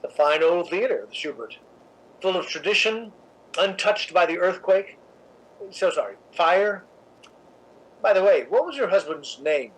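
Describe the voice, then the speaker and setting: An actor playing a suave, cynical, scheming theatre critic in a radio drama, with an arch, measured, theatrical delivery. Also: male voice, high voice, expressive, slightly rough, exaggerated voice, poor audio. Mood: smooth, probing. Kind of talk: old-time radio drama narration and acting.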